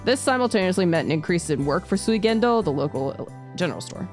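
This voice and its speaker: A woman streams a visual novel, reading its narration aloud in a casual way. Her voice is slightly low, with animated intonation. Soft music plays behind her. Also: fast talker. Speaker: VTuber streamer.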